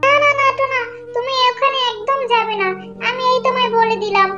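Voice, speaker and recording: Cartoon mother bird, fretful and scolding, piercingly high and tearful, over a clear music bed. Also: fairly even intonation, fairly quick. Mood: worried, scolding. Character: anxious, scolding mother bird, grief-stricken wife. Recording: muffled sound with music behind.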